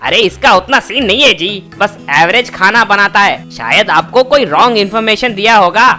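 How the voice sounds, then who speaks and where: A man plays a grudging roadside chicken seller in an animated story. The voice sits high and has a forceful, bright edge, with music underneath. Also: normal speed, heavily acted. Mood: dismissive. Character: brash, grudging male chicken trader running a roadside eatery. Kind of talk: Hindi animated moral story dubbing.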